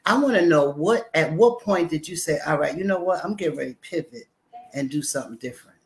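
A woman's voice: deep voice, lively intonation, fast talker. Effusive woman hosting a talk show. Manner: effusive, addresses audience as y'all. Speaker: host.

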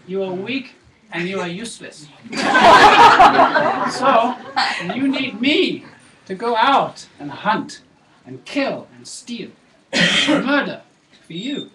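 Guy doing a loud bragging robber, highish voice, far off in an echoey room. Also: noisy background. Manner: loud, laughing, pleading. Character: boastful male robber, brash and self-justifying.

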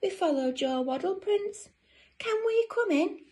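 Woman doing high, pleading little penguins. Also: expressive, a little slow, a little mellow. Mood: eager pleading. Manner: sing-song, pleading. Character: clingy, pleading little penguin siblings, cuddle-loving.

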